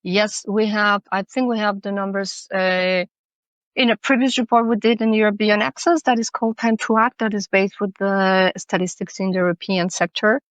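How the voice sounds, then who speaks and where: A woman's voice: medium pitch, steady tone, normal speed, no echo. Earnest woman guest, English, thoughtful, restarts often, dry recording. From Mexico. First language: Spanish. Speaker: guest, accessibility consultant. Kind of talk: podcast interview.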